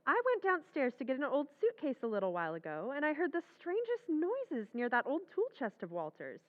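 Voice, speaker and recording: A woman plays a chatty, sweetly anxious wife in an old-time radio play. Her voice is high and lively, squeezed into a thin, phone-like band. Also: fairly quick. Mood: breezy. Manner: breezy, chatty.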